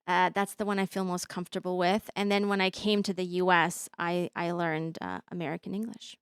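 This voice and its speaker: A woman in her thirties, born in Bosnia with Bosnian as her first language, telling her story as an interview guest with many pauses and fillers. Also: fairly low pitch, little pitch movement, smooth, no echo, studio quality. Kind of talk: conversational interview.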